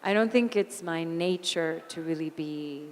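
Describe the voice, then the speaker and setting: A woman in her thirties with a raspy voice, speaking candidly as an interview guest and telling animated stories about her life. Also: sits low, measured pace, slight background noise. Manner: candid, animated storyteller who laughs easily. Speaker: interview guest, singer and actor. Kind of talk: onstage conversation. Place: event hall. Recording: long hall reverb.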